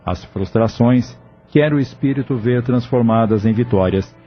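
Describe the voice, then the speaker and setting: A man's voice: mid-range voice, a little slow, muffled recording. A Brazilian man reading scripted narration for an audio drama. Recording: band-limited recording with music bed. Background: music.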